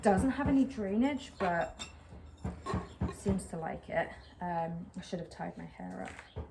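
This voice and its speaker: A woman's voice: on the low side, animated, slow. A young woman chatting to the camera while repotting a plant outdoors, rambling with lots of fillers. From England. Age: young adult. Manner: rambling, filler-heavy, self-conscious. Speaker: plant vlogger. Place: outdoors on a patio by a brick wall, a little windy. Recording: clear outdoor noise behind.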